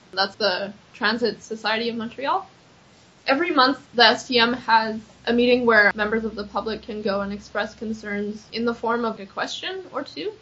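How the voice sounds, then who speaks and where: A woman's voice: fairly high pitch, a bit bright. Chatty young woman, lo-fi. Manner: earnest, chatty, frequent "like" fillers. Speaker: accessibility activist. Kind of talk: casual interview answers. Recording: slightly dull lo-fi recording.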